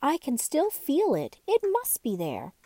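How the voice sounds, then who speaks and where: A woman's voice: high voice, wildly swooping pitch, a little slow, warm tone, no room sound, voice pushed up, exaggerated voice. A woman voicing a cheerful, plucky boy rag doll in a children's storybook, with a jolly, reassuring manner. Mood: cheerful, insistent.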